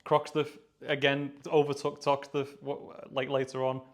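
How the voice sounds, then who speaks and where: Scouse guy hosting a podcast, pretty flat monotone delivery, matter-of-fact. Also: mid-range voice, unhurried. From Liverpool, England. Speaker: podcast host. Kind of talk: casual podcast chat.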